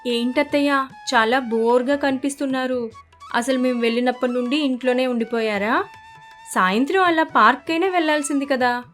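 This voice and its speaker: A woman voicing a considerate young daughter-in-law in an animated story, polite, coaxing and concerned. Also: high voice, brisk. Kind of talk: animated moral story dialogue. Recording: faint music bed behind the voice.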